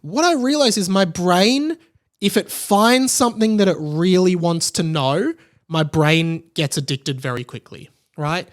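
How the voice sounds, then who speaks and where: A man's voice: high voice, measured pace. A man in his late twenties talking casually and animatedly on a solo podcast, rambling and self-deprecating. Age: late 20s. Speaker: podcast host.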